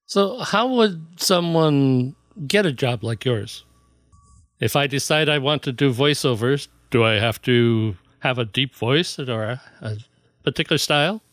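A man puts questions casually, as in conversation. His voice sits at an ordinary middle pitch, and the sound is dry with very little room on it. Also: unhurried, fairly bright tone, no echo.

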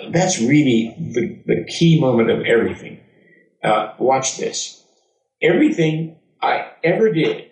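An older American man, a voice actor, tells personal stories in an emphatic, animated way with dramatic pauses. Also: mid-range voice. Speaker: voice actor and former radio announcer. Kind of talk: autobiographical storytelling to an audience. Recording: echoey room, distant mic.

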